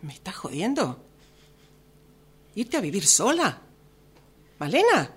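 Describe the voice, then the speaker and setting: Radio drama: ageing Argentine mother, played by a woman, clingy, halting, slow delivery with big pitch swings. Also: wildly swooping pitch, slightly rough, full character voice. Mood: shocked, dismayed. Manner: halting, incredulous. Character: clingy ageing mother, dismayed by grown daughter. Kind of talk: improvised radio theatre workshop exercise.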